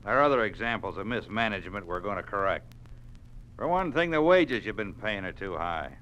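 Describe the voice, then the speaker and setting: An actor playing a cold, domineering communist party official in a 1950s radio drama, speaking curtly and with authority. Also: male voice, on the low side, lively intonation, fairly quick, a bit bright, dull audio. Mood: cold, dogmatic. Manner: curt, authoritative, doctrinaire.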